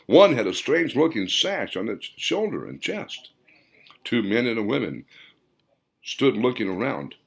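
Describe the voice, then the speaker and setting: Low-voiced man narrating with dramatic swings. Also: gravelly. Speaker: author reading own audiobook. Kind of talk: scripted fiction narration.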